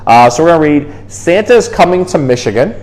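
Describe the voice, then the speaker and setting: A man's voice: a little high, lively intonation, brisk, darkish tone. A man presenting as a zookeeper in a casual, explanatory style suited to educational animal talk and storytime. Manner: informal, explanatory.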